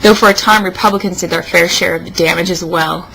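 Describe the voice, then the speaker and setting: A woman's voice: fairly low pitch, steady tone, fast talker. A woman co-hosting a mock-dramatic reading with casual banter, speaking sarcastically. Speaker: co-host. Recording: clearly noisy recording.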